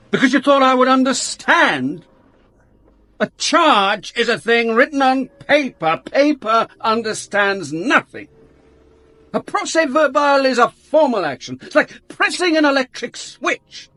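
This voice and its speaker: An actor playing a blunt, worldly police inspector in a radio drama, brusque, commanding and wry. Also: male voice, very high-pitched, sing-song, slow pace, pauses often, pushed and bright, no echo, heavily acted. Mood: stern, exasperated. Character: blunt, worldly Dutch police inspector, narrating his case. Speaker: actor and narrator.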